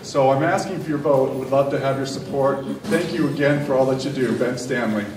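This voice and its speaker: A man's voice: medium pitch, a little fast. A man giving a confident, polished campaign speech to delegates as a candidate for county attorney. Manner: confident, polished, self-promoting. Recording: echoey room from far off, faint noise.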